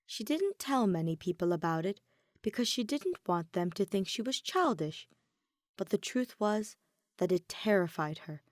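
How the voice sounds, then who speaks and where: A woman narrating a fan fiction story in an even, measured storytelling manner. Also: mid-range voice, no room sound, studio quality. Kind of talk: audiobook narration.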